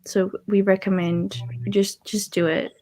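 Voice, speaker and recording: A woman's voice: medium pitch, level tone, a little slow, mellow. A woman chatting casually as co-host of a livestream about books, on a video call. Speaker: co-host of a book readalong livestream. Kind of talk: casual chat.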